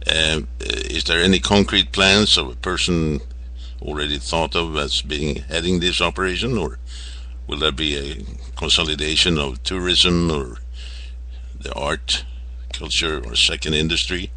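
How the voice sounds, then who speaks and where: A man with an extremely deep yet very bright, forceful voice works as an interviewer. His questions are informal and wordy, and he often halts and rephrases them along the way. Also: unhurried.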